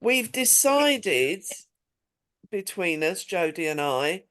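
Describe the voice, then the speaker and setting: Brisk lady running a readers' video call, keeps it moving. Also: fairly low pitch, sing-song, a bit bright. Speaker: call organiser and host. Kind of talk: casual video call chat.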